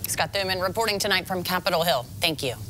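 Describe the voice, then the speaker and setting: A woman's voice: fairly low pitch, quick pace, a bit bright, slight background noise. An American woman reading scripted television news as an anchor.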